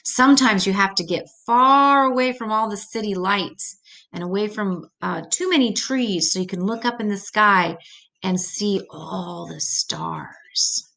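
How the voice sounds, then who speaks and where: A woman's voice: mid-range voice, a little slow, vocal fry, bright, forceful tone, rough recording. An older woman reading an educational children's book aloud, adding simple, patient explanations as she goes.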